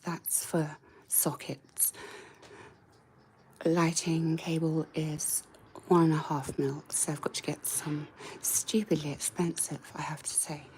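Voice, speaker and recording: A woman with a croaky, mostly whispered voice, giving a casual, hesitant tour of the attic she is renovating, filmed on a handheld camera. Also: fairly low pitch, slight background noise. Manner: soft, hesitant, trailing off with ums. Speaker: home renovation vlogger. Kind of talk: casual walk-through tour. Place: indoors in a cramped attic.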